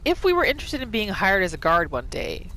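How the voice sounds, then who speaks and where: Animated woman doing casual gameplay commentary. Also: medium pitch, fairly quick, a little hiss or hum, poor audio. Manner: expressive, reacting aloud, talks to herself. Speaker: gaming video host. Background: game ambience.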